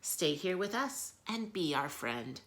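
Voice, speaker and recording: A woman voicing a kind, gentle male zookeeper in a storybook, warm and reassuring. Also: on the low side, very animated, slow, voice pushed up, full character voice.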